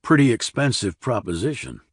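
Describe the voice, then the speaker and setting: A man's voice: mid-range voice, very animated, moderate pace, slightly rough, no room sound, clean studio sound. A man voicing a blunt, probing army investigator in audiobook dialogue, terse and questioning.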